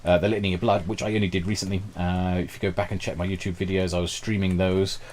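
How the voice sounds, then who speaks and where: Lowish-voiced streamer going hoarse. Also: male voice, flat delivery, quick pace, darkish tone, a little hiss or hum. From Britain. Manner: chatty and enthusiastic, thinks aloud. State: slightly hoarse, losing his voice. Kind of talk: casual gameplay commentary.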